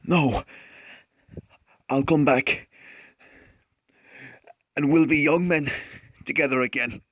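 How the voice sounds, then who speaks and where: A man playing a wounded, honourable businessman in an audio drama, speaking in a halting, solemn way through pain. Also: fairly high pitch, no room sound. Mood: pained, resolute.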